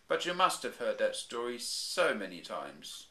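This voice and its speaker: A man voicing a calm, matter-of-fact father bear in a comic storybook reading. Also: mid-range voice, a little slow, reverberant, voice pushed up. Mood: dry.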